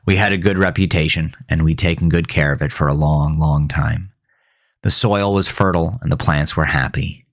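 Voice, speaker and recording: A man playing a haunted, bitter farmer who narrates his horror story in a grave, brooding, gravelly voice. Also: very deep voice, fairly even intonation, normal speed. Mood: wistful, calmer. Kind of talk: scripted first-person horror narration.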